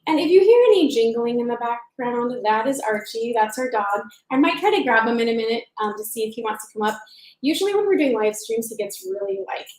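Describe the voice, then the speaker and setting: A young woman from Seattle co-hosting a casual live-stream chat at home, upbeat and eager, quick to agree. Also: on the high side, animated, reverberant, low-quality recording. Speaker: co-host of a couple's channel.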